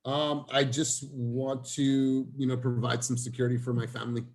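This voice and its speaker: A man's voice: mid-range voice, flat delivery, moderate pace, a little mellow. A man leading a sales training meeting in casual, informal talk, calling people "man" and "bro". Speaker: team leader running a sales training meeting.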